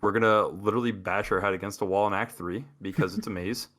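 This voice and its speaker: A man's voice: lowish voice, fairly even intonation, quick pace, fairly bright tone. A man co-hosting a gaming podcast, talking in a casual, rambling way with many restarts. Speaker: podcast co-host.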